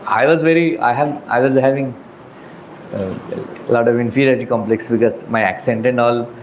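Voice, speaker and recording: A man's voice: mid-range voice, a little fast, slight background noise, rough recording. A man from South India with a South Indian English accent, giving a casual scripture class with chatty asides, small laughs and bits of Hindi. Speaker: spiritual teacher.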